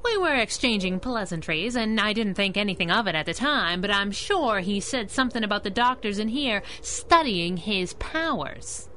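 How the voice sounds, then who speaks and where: Lively, sassy crimefighting sidekick. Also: female voice, medium pitch, normal speed, hardly any pauses, a little hiss or hum. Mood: breezy, wry. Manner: sassy, slangy. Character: wisecracking plucky female masked crimefighter sidekick. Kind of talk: 1930s-style radio drama acting.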